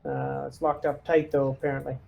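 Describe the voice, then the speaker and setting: A man's voice: medium pitch, little pitch movement, a little fast, gravelly, highs cut off. A man talking informally and hesitantly as a player in a tabletop roleplaying game, thinking aloud in character. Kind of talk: casual in-character table talk.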